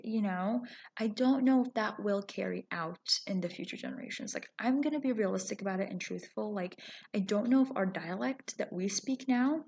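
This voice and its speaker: A young woman whose first language is Arabic talks to her viewers in a relaxed, conversational way. She fills her sentences with ums and "you know"s. Her voice has a noticeable vocal fry and sits at an ordinary pitch. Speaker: vlogger. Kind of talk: casual talking-to-camera vlog.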